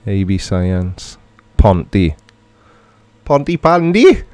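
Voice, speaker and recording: Man, Welsh accent, middle-aged, low voice, casual chatty, dry room. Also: big pitch swings, very slow, dark tone, dry recording. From Wales. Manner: chatty, joking, reads viewer comments aloud. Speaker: livestream host. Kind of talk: casual live chat reading comments.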